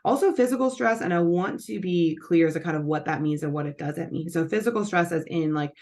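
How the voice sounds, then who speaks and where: A woman's voice: on the low side, a little fast, darkish tone. A woman hosting a nutrition channel, explaining things in a casual, chatty way with lots of fillers and self-corrections. Her voice is scratchy and congested from allergies. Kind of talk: casual explanatory talk to camera.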